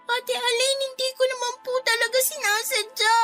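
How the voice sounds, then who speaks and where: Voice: extremely high pitch, fairly even intonation, moderate pace, music in the background. A performer voicing a frightened, apologetic young girl in a Filipino radio drama, polite and pleading. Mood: apologetic, meek.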